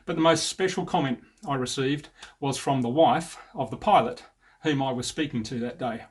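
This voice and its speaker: An Australian man in his fifties giving a measured, sincere personal narration as an air traffic controller, with a slightly husky voice. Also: mid-range voice.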